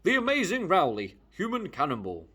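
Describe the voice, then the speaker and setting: Showy comic storybook character, acted by a male reader: high, husky, theatrical, drawn-out exclaiming. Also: huge pitch swings, sharp tone, exaggerated voice. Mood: showy announcing.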